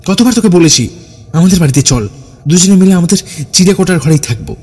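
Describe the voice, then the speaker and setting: A man's voice: sits high, lively intonation, quick pace, a little mellow, husky, voice pushed up. A man voicing an irritated, worried young male friend who scolds and questions emphatically in an audio horror story. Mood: exasperated, worried.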